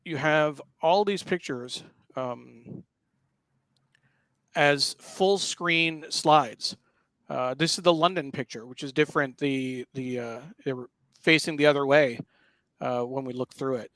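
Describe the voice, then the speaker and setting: Male host, slightly higher voice, dry sound, casual live commentary, halting explanatory delivery. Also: a bit bright, no room sound. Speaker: show host. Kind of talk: casual live talk walking through a newspaper.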